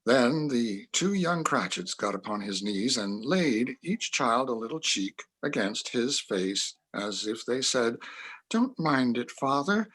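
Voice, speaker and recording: A man's voice: medium pitch, very animated, slow pace, a bit bright, breathy edge. A man narrating a classic story as a community reader in a dramatic reading.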